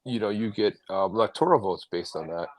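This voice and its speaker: A man's voice: fairly low pitch, low-quality recording. A man from New York co-hosting a casual live stream, chatty and jokey. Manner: chatty, jokey, talks in quick asides. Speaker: co-host.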